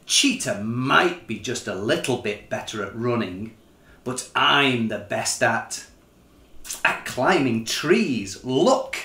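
A man's voice: medium pitch, lively intonation, slow pace, sharp tone, echoey room, heavily acted. A man voicing a proud, boastful, easily annoyed lion in a children's storybook, with a pompous, blustering manner. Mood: grumpy, blustering.

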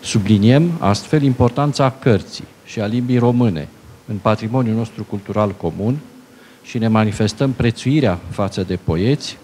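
An older man giving a ceremonial official address at a book fair, pausing often, holding a handheld microphone in a conference hall. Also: lowish voice, dark tone, a little hiss or hum. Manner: ceremonial, halting with pauses. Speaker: presidential adviser reading a message.

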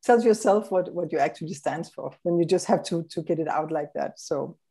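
A middle-aged woman interviewing a guest over a video call, asking friendly questions in a halting way with fillers. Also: fairly low pitch, dark tone, breathy edge. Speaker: interviewer, host. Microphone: video call webcam.

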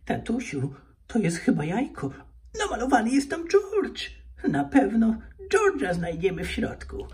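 A man's voice: very high voice, huge pitch swings, unhurried, darkish tone, recorded from a distance, slight background noise, pitched above the performer's own voice, exaggerated voice. A man voicing an eager little girl piglet in a children's toy puppet story, childlike and sing-song. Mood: eager, curious.

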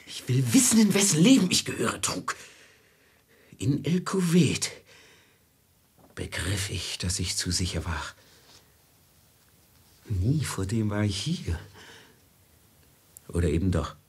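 An actor playing a grave, searching merchant with brooding, poetic intensity in a 1950s German radio drama. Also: male voice, fairly low pitch, big pitch swings, takes it slowly, long pauses, full character voice. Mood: agitated, demanding. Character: troubled, searching merchant in an Arabian Nights tale. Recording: hushed, husky-sounding 1950s mono radio recording.

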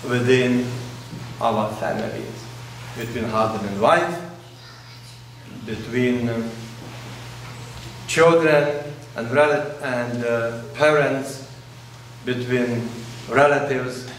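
A man in his forties, a priest whose first language is Russian, preaching a sermon in slow, pausing phrases, recorded indoors in a large church by a camera at a distance. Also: mid-range voice, a bit bright, a little hiss or hum. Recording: very echoey church, distant mic.

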